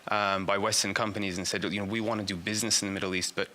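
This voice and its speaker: A man who grew up between England and Saudi Arabia, answering questions in an interview. His voice sits fairly low with a very bright, tense edge. He talks haltingly and restarts his sentences often. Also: little pitch movement. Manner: halting, full of restarts and fillers. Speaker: business strategist.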